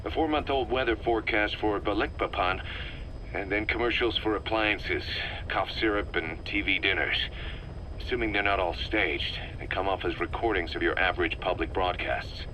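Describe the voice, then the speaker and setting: A man playing an analytical, wary military second-in-command in a video game, explaining things in a measured, methodical way. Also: fairly low pitch, bright, forceful tone, a little hiss or hum, rough recording. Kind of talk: scripted video game cutscene dialogue.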